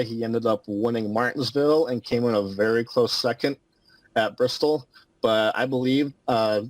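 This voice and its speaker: A man giving casual race analysis as a guest, halting with many fillers. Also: mid-range voice, no echo, poor audio. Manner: halting, lots of likes and ums. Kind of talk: casual race preview talk.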